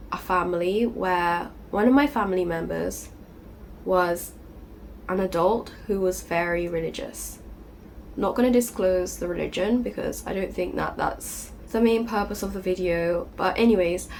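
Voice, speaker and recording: A woman hosting a personal vlog, talking earnestly and gently with many "you know"s, her voice a little off because she is getting over a cold. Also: mid-range voice, a little hiss or hum. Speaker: channel host.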